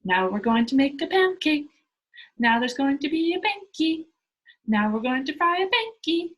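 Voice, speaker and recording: A woman's voice: high-pitched, big pitch swings, moderate pace, a bit bright, pitched above the performer's own voice. A woman voicing a cheerful, bossy young storybook girl who tells tall tales in an exuberant, emphatic way. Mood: excited, bossy. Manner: exuberant, matter-of-fact, emphatic. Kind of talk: children's storybook read-aloud.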